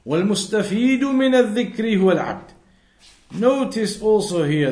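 A man giving a religious lesson, explaining didactically and repeating key phrases for emphasis. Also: high-pitched. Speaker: religious teacher. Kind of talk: religious lesson, reading Arabic text and explaining it in English. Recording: roomy, echoing sound.

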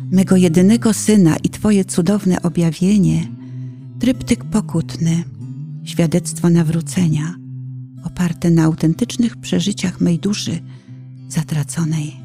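A middle-aged woman giving a solemn, dramatic recitation of a poetic prayer in a penitent, emotive and measured manner. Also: on the low side, dark tone, husky. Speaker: narrator reciting own poetic testimony.

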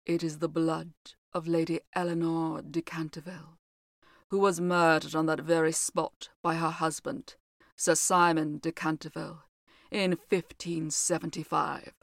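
A woman voicing a grim, mysterious old housekeeper who speaks low, slowly and in a hush. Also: little pitch movement, gravelly, dry recording, clean studio sound. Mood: ominous. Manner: low, slow, hushed.

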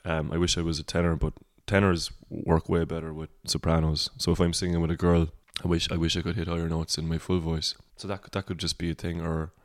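This is a Cork Irish man with a deep baritone voice that sits very low. His delivery stays level, with little rise and fall. He sounds reflective and wanders through his thoughts in a casual podcast chat, recorded close with a dry sound. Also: level tone, brisk, hardly any pauses, no room sound. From Cork, Ireland. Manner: reflective, rambling. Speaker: singer-songwriter guest.

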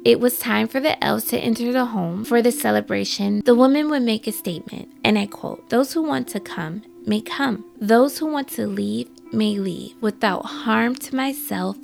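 A hoarse woman hosts a chatty folklore show, her voice heavily gravelly with fry. She reads her notes, then drops in quick asides. Faint music plays softly behind her. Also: a little high. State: hoarse from a cold. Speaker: podcast host. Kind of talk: casual folklore storytelling.

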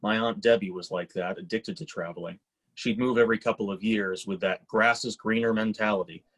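A man's voice: on the low side, steady tone, fairly quick, husky, low-quality recording. A man acting a sceptical, blunt grown son in a staged screenplay reading, speaking in a dry, matter-of-fact way. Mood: dry, reflective.

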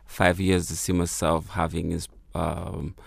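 A very deep, gravelly man's voice, recorded dry and close. He grew up in Kenya with Swahili as his first language, and he talks in an easygoing, reflective way, searching for words with lots of fillers and restarts. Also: level tone, a little slow, no room sound. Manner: reflective, many fillers and restarts, laughs easily. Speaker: musician guest. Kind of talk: casual interview.

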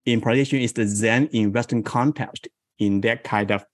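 A man in his forties from South China with a Chinese accent, a guest in an interview who tells stories in a slow, reflective way. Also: on the low side, fairly even intonation, no echo, clean studio sound. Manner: slow, thoughtful, reflective storytelling. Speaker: guest, improv coach. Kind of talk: interview conversation.

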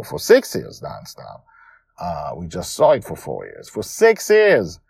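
Husky guy doing podcast commentary on his story, super lively pitch, emphatic, dry sound. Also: dry recording. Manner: animated, emphatic, self-correcting. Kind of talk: informal spoken commentary on his own serial story.

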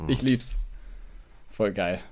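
A man's voice: on the low side, takes it slowly, crowd noise. A man hosting a podcast in casual banter, with a playful, slangy way of talking. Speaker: podcast host.

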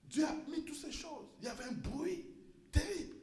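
A male pastor with an unusually high voice preaches with fervor, climbing into shouts and tripping over repeated words. His voice rings around an echoey church room. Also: moderate pace. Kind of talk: sermon.

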